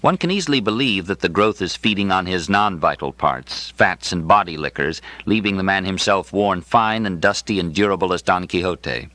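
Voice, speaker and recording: A man reading a literary novel in the first person as an audiobook narrator, with a dry, precise and expressive delivery. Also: deep voice, moderate pace, few pauses, no room sound.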